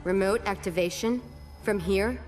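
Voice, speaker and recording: A woman voicing a determined human military commander who fires off quick, pressing questions. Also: medium pitch, over music, natural voice.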